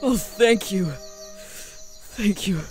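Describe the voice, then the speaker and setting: A woman's voice: mid-range voice, slow pace, a little mellow, faint music behind, close to an everyday voice. A woman acting a tired, worried elf father in an audio drama. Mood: relieved, tender.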